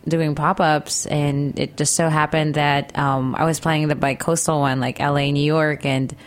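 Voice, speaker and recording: Woman chef guest, thirties, animated and laughing, lowish pitch. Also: moderate pace. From Philippines. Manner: giggly, animated, laughs often. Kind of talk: casual interview.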